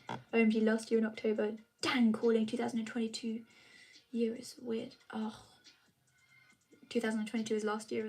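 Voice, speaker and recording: A woman's voice: a little high, a little mellow. A young woman chatting casually with her viewers on a live stream, rambling with lots of fillers. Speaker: vlogger answering chat.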